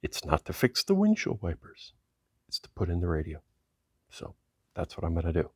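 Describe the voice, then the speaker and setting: A man's voice: sits low, huge pitch swings, moderate pace, slightly rough, dry recording, studio quality. A man hosting a car hobby video, narrating his hands-on work casually, musing aloud and easily amazed. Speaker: host of a car hobby channel.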